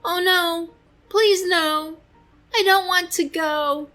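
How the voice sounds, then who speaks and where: A woman's voice: very high-pitched, fairly even intonation, slow pace, bright, forceful tone, faint music behind, pitched above the performer's own voice, exaggerated voice. A woman voicing a tiny, grumpy, reluctant male seed in a children's storybook, protesting emphatically in sing-song rhyme. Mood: worried, pleading. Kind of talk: children's storybook read-aloud.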